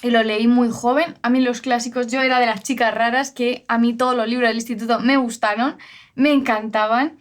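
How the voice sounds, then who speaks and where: A woman's voice: on the high side, fairly even intonation, brisk. A woman from La Mancha with an accent from Spain, co-hosting a casual book discussion in an enthusiastic, chatty way. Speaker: co-host of a bookshop's book channel.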